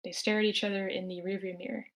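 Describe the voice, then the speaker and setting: Plain mid-pitched woman reading screenplay action. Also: little pitch movement, fast talker. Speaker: stage-direction narrator. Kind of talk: reading screenplay action lines aloud at amateur table read.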